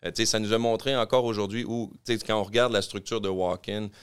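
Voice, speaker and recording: A man from Quebec City with a Québécois accent, a podcast guest telling his business story in fast, rambling casual chat. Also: on the low side, fairly even intonation, clear, resonant tone. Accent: Québécois French. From Quebec City, Canada. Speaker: podcast guest, clothing-store co-founder.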